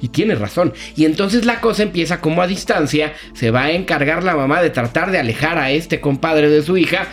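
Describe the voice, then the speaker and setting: A man's voice: fairly high pitch, expressive, fairly quick, few pauses, soft background music. A man with a Mexican accent giving a casual, colloquial review as a critic on a talk show, telling plots like gossip. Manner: colloquial, gossipy storytelling with slang.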